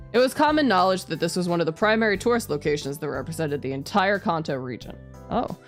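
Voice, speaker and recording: A woman streams a visual novel, reading its narration aloud in a casual way. Her voice is slightly low, with animated intonation. Soft music plays behind her. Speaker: VTuber streamer.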